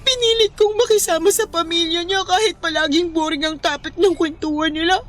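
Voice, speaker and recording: Tearful, high-pitched heartbroken young woman. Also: steady tone, brisk. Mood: tearful, anguished. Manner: tearful, pleading, whiny. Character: heartbroken young woman crying over a breakup. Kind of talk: Filipino radio drama acting.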